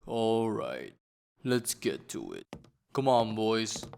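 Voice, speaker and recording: An actor playing a gruff older rancher who holds strangers at rifle point, speaking in a curt, threatening way. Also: male voice, fairly low pitch, sing-song, takes it slowly, darkish tone, slight background noise, full character voice.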